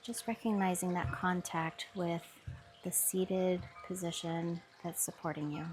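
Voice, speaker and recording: A woman guiding a mindfulness body scan meditation with soft, soothing instructions. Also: on the low side, fairly even intonation, unhurried. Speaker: meditation guide. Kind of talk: guided meditation.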